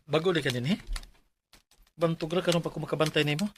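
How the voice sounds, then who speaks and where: Man as friendly Cebuano office pal in radio drama, slightly high voice, colloquial, advising. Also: fairly even intonation, quick pace, a bit bright, a little hiss or hum, close to an everyday voice. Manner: chummy, colloquial. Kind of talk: Filipino radio drama acting.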